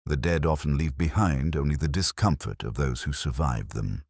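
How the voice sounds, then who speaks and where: Very deep husky male narrator. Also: darkish tone, no room sound, studio quality. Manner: measured, brooding, literary delivery. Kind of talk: scripted first-person detective narration.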